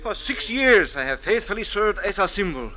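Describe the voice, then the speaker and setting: A proud, aggrieved little male sand diviner. His voice is rather high and very animated, with indignant, formal phrasing in vintage radio drama style. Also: moderate pace, exaggerated voice, low-quality recording. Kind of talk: 1940s-50s radio drama acting.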